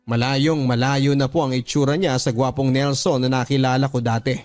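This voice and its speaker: A man reads a confessional letter as scripted dramatic narration, in a measured, earnest storytelling manner. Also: medium pitch, fairly even intonation. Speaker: radio drama narrator reading a listener's letter. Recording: clear music bed behind the voice. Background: background music.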